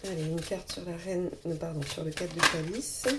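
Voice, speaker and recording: A woman with a hoarse voice from a cold, reading tarot cards to viewers in a gentle, reassuring way with many pauses. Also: on the low side, little pitch movement, background noise. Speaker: tarot reader.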